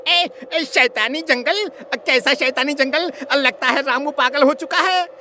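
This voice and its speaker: A woman's voice: high voice, unhurried, bright, forceful tone, slight background noise. A woman playing an alarmed, disbelieving villager in a horror cartoon story, stammering and repeating words nervously. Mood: nervous urgency. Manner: stammering, repetitive, flustered. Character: alarmed, disbelieving villager leading a worried group.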